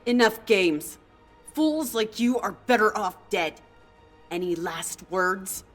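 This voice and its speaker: A woman's voice: medium pitch, slow, soft background music. A woman playing a contemptuous, menacing supervillain in an audio drama, taunting and condescending. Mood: angry, threatening. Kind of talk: scripted audio drama acting.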